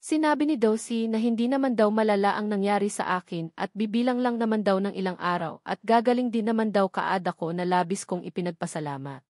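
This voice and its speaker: A woman narrates a scripted first-person story in the manner of an audiobook. Her voice has a middle pitch and is recorded dry, with very little room around it. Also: fairly quick, darkish tone, no echo.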